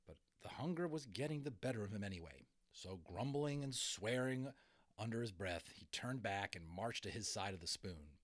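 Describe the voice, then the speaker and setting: A man reading a storybook aloud as a dramatic, expressive narrator. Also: lowish voice, normal speed. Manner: expressive, theatrical. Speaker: audiobook narrator.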